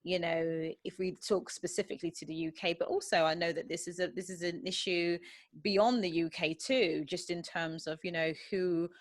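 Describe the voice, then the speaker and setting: A woman's voice: lowish voice, steady tone, normal speed, dry recording. A woman co-hosting a podcast in casual, supportive discussion, phrasing things informally as she thinks aloud. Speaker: podcast co-host.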